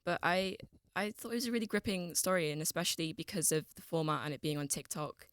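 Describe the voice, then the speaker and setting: A young woman guest on a podcast, calmly retelling a story in a measured way. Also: on the low side, no room sound, studio quality. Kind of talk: casual chat.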